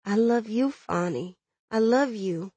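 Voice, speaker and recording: A woman voicing a loving, steadfast young woman in an audiobook, speaking in a gentle, earnest and reassuring way. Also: medium pitch, animated, slow, no echo, lo-fi sound. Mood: tender, earnest. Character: loving, steadfast pregnant young woman soothing her jailed lover. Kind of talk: dramatic audiobook character dialogue.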